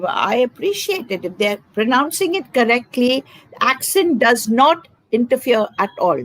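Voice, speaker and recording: An older woman with an Indian English accent, a Konkani speaker, lectures emphatically and repeats her points for weight. Her voice sits a little high, swings widely in pitch, and has a husky edge. The recording is dry and close. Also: no echo, low-quality recording. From south India, Karnataka. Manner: emphatic, didactic, repeats key phrases. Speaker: educator, guest. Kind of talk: conversational advice.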